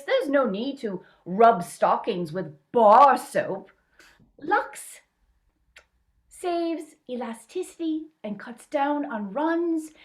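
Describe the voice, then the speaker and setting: Woman as a slow, lilting 1940s ad spokeswoman. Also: sits high, exaggerated voice. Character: perky 1940s radio commercial spokeswoman. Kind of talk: scripted vintage radio advertisement.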